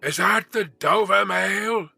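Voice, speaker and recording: A man voicing a hoarse, plain-spoken messenger in a storybook reading, calling out slowly and insistently. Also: a little high, bright, forceful tone, faint music behind, voice pushed up, heavily acted.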